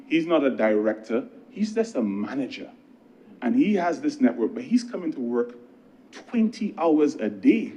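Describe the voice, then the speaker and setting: A man with a Barbadian accent delivering a parliamentary speech as a government minister, conversational and earnest, with emphatic pauses. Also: medium pitch, mellow, lo-fi sound. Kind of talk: political speech in parliament with anecdotes.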